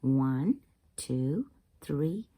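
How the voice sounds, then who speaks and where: A woman's voice: a bass voice, expressive, drawn-out words, mellow. A woman reading a picture book aloud to young children in a teacherly manner, putting questions to the listeners. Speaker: children's read-aloud channel host.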